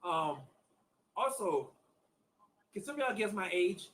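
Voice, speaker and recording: Chatty fifty-year-old man, higher voice. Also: sing-song, takes it slowly, fairly bright tone. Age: 50s. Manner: chatty, repeats himself, addresses viewers as y'all. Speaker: acupuncturist. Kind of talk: casual online demonstration.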